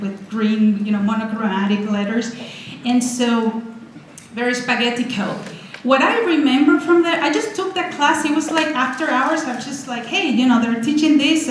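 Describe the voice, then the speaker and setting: Lady in her 40s giving a talk, kinda high voice, super excited, lots of restarts, really echoey room. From Venezuela. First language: Spanish. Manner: excited, chatty, many restarts. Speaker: guest speaker. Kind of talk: energetic public talk with slides. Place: college lecture room. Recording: echoey hall, faint noise.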